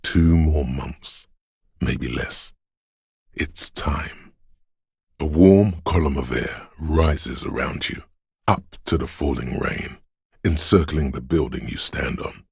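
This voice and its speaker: A man voicing a brooding, omniscient inner voice of a city in a video game, narrating gravely and poetically in a measured, husky, gravelly voice. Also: a bass voice, no echo, clean studio sound, exaggerated voice. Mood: brooding, solemn. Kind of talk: slow literary second-person game narration.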